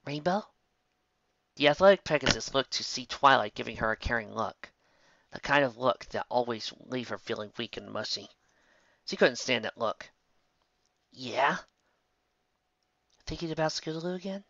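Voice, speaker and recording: A man's voice: medium pitch, fairly even intonation, normal speed, gravelly, fairly bright tone, dull audio. A man reading a fan fiction story as narrator, occasionally stumbling over words.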